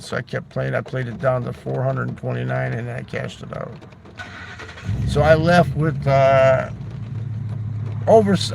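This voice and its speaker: A man's voice: mid-range voice, noisy background. A man telling a long casual story, loose and chatty, swearing freely and tossing in "right?" and "man". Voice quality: gravelly. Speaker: livestreamer.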